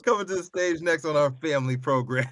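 A man's voice: fairly high pitch, quick pace, a bit bright, slight background noise. Teasing male co-host. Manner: teasing, introduces guests with mock hype. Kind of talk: casual talk show banter.

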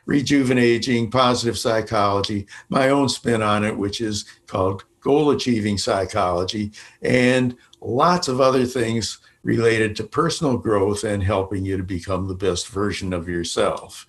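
A man hosting a podcast who reads a measured guest introduction, drawing out some words, and then asks questions. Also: lowish voice. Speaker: podcast host.

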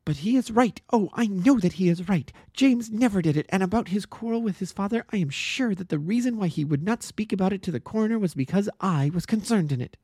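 An earnest, impassioned young woman pleads her case in a high, insistent voice, as a male narrator puts her on. The voice has a mellow tone and is recorded dry and close. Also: expressive, a little fast, few pauses, slightly rough, no room sound, full character voice. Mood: pleading. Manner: breathless and insistent, rising high. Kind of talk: audiobook character voice.

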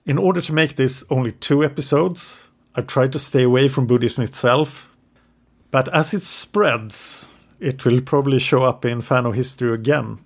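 English-speaking man, podcast co-host, mid-pitched, narrates history from notes with the odd hesitation. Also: normal speed, gravelly. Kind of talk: casual history podcast storytelling.

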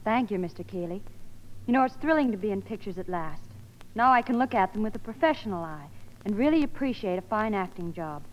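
A woman's voice: mid-range voice, expressive, fairly quick. A young American woman, a guest actress reading scripted promotional chat on vintage radio. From Hollywood, California. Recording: muffled old radio broadcast, long hall reverb.

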